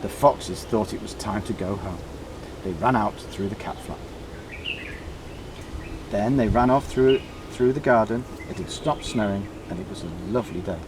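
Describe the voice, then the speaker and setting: A man reading a children's story aloud in short, deliberately emphasised phrases. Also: lowish voice, background noise. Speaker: storybook reader.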